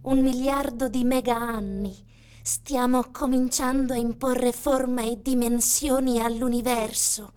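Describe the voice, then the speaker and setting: An actress playing a solemn narrator of cosmic time, reading slowly and deliberately in a radio theatre style. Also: female voice, on the high side, steady tone, darkish tone, close to an everyday voice. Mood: solemn, grave. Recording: clear background noise.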